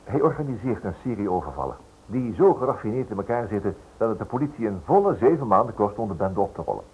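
A man's voice: lowish voice, big pitch swings, quick pace, muffled recording. An actor playing a polite, inquisitive male writer who stammers hesitantly over his words in a Dutch radio drama. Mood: insistent, curious. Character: inquisitive, hesitant writer probing a criminal case. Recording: noisy old radio drama recording.

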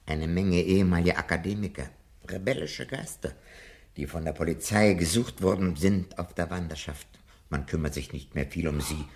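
An actor playing an elderly, learned professor, courteous and anxious, in a 1970s German radio drama. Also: male voice, low-pitched, normal speed, darkish tone. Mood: cautious, confiding. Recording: roomy, faintly noisy vintage radio recording.

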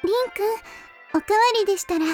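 A woman's voice: extremely high pitch, steady tone, quick pace, music in the background. A woman playing a sweet, timid, devoted teenage girl in an anime audio drama, polite and easily flustered. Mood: caring.

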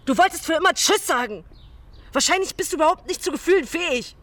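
A woman's voice: very high-pitched, lively intonation, fast, a bit bright. An actress playing a blunt, slangy young woman who is hurt and cutting toward her boyfriend in a naturalistic radio drama. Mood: angry, scornful. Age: young adult.

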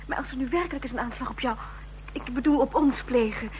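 An actress playing the anxious, sharp-witted companion of a secret agent in a radio drama. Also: female voice, high-pitched, fast talker, close to an everyday voice. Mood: worried. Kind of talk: Dutch radio drama acting. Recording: noisy radio drama recording with hum.

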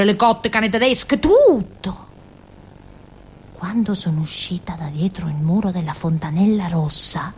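An actress playing a naive, excitable village girl with a Calabrian accent, telling her story in a childlike, colloquial theatrical monologue for radio. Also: sits high. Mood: excited. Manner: childlike, colloquial storytelling with frequent giggles and asides.

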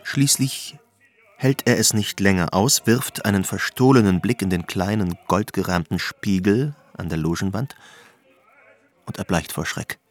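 A man giving scripted literary narration for a radio drama in a measured, suspenseful way. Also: low voice.

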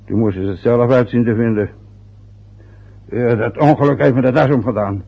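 A man's voice: medium pitch, normal speed, creaky, mellow, husky. An actor playing a self-important, boastful older father who grumbles and rambles in a radio drama. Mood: boastful, wistful. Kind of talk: radio drama acting, long rambling monologues. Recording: dull, lo-fi old radio play recording.

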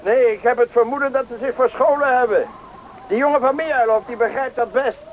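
High-voiced, authoritative search leader, man. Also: brisk. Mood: calm, reassuring. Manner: reassuring, matter-of-fact, instructing. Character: calm, authoritative male search party leader. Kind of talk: Dutch radio play acting. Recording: dull radio play recording, faint noise.

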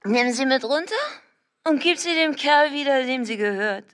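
An actress playing a young bride in a radio play, drunk and distraught, with slow, emotional speech. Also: female voice, high-pitched, fairly bright tone. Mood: drunk, tearful. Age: young adult. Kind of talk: German radio play acting.

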